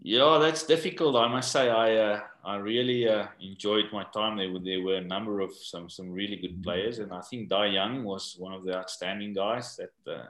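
An older man from South Africa, English not his first language, answering interview questions in a halting way and often repeating words. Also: fairly low pitch, fairly bright tone, roomy sound. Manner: halting, repeats words while searching for them. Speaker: guest, rugby coach. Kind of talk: podcast interview.

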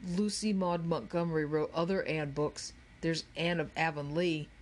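A woman's voice: low voice, steady tone, normal speed, darkish tone, close to an everyday voice. A woman voicing a timid, bookish boy in a children's audiobook, mumbling and pedantic. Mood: meek, pedantic. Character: timid, bookish, pedantic boy who knows library rules.

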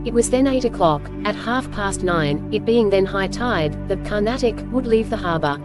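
A woman reading scripted storybook narration as host, with measured, clear phrasing. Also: mid-range voice, animated, music in the background.

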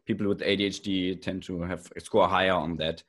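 A man with a fairly low voice interviews a guest in a casual podcast style. He interjects often, agreeing and adding points of his own. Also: flat delivery, fairly quick. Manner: interjects to add examples and agreement.

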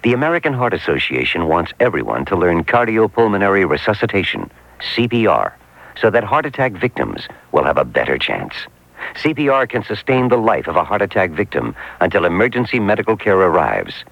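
A man with a gravelly voice narrating a serious scripted public service appeal. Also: low voice, very animated, fairly quick, no echo. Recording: dry, dull radio recording.

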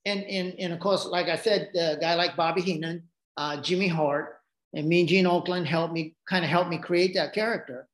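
A man's voice: on the high side, steady tone, normal speed. Interview guest: man, Japanese accent, native Japanese speaker, rambling storyteller, recorded with an echo. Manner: rambling, frequent restarts and asides. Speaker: podcast guest. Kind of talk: casual storytelling.

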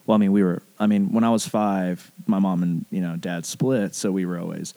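Man, lowish pitch, casual storyteller, animated, rambling, profane slang, dry close sound. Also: a little fast, no echo. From southern United States, rural Alabama.

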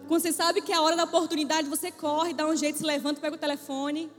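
A woman's voice: sits high, level tone, racing, long reverb tail, soft background music. A woman preacher telling a humorous personal testimony to a church audience, acting out the voices she quotes. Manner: playful storytelling, acts out quoted voices.

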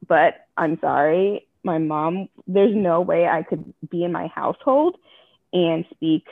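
A woman's voice: lowish voice, takes it slowly, vocal fry, dry recording. A woman from Jamaica speaks frankly and earnestly as an interview guest. Her voice comes through a narrow, tinny phone-like line. There is no room sound on her voice at all. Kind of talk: candid personal interview.

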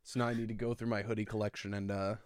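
A man's voice: lowish voice, level tone, very fast, no room sound, clean studio sound. A young man hosting a podcast in easygoing casual chat, laughing often and using lots of fillers. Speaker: podcast host and editor.

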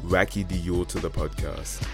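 A man's voice: sits low, fairly even intonation, a little slow, gravelly, loud music behind the voice. A man hosting a casual podcast interview, chatty and laid-back with plenty of slang. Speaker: podcast host.

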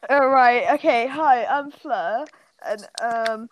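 A woman's voice: on the high side, slow pace, no room sound, rough recording. Teen girl laughing thru a group call podcast, dry close sound, stumbles on words. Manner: giggly, stumbling over words. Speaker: podcast host. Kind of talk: casual chat among friends.

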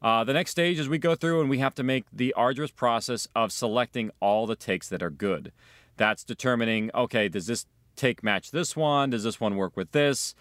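Man, mid-pitched voice, explanatory interview delivery, audio production creator, close dry sound. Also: moderate pace, fairly bright tone, no echo.

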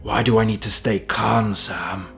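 Man playing an aggrieved, brooding hobbit gentleman. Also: fairly low pitch, steady tone, measured pace, vocal fry, soft background music. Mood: rising anger.

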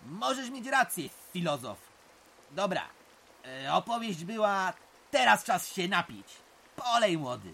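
An actor playing a folksy middle-aged uncle telling village tales in colloquial rural speech, in an audio drama. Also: male voice, high voice, big pitch swings, measured pace, vocal fry, bright, forceful tone, breathy edge, full character voice. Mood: teasing. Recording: rain noise behind the voice. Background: rain.

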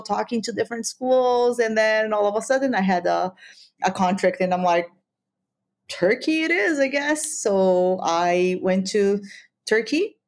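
Woman guest from Brazil, Spanish as first language, mid pitch, chatty, storytelling, casual. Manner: chatty, animated storytelling with fillers. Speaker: guest, international teacher. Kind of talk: casual interview chat.

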